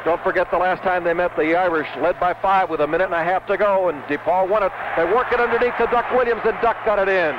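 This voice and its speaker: A man calls a basketball game live for radio in a high-pitched voice, in short, clipped running phrases. The sound is a dull, noisy 1970s broadcast recording. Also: quick pace, hardly any pauses. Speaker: radio play-by-play announcer. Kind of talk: live basketball play-by-play commentary. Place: courtside in a basketball arena.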